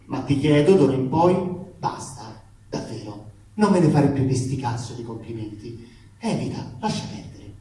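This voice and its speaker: A man's voice: medium pitch, animated, normal speed, warm tone. A man gives a comic theatrical reading of a letter, emphatic, aggrieved and ironic, in colloquial language with swearing. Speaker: actor reading aloud. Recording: echoey room, distant mic, faint noise.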